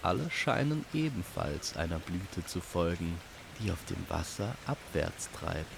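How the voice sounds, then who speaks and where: A man reads a fantasy tale as a measured storyteller. His voice is low and creaky, and he takes his time with each phrase. Rain is clearly audible behind him. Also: animated, noisy background. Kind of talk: scripted fantasy story narration.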